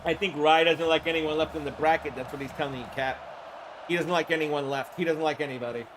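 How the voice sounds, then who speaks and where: A man with a high voice and a hoarse throat calls plays on a live stream, with music faint underneath. He keeps greeting viewers as he goes and jumps into loud bursts when a play breaks. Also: fast. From Florida. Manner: chatty, greets viewers by name, excited bursts on big plays. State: hoarse from the flu. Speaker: streamer calling a simulated football game.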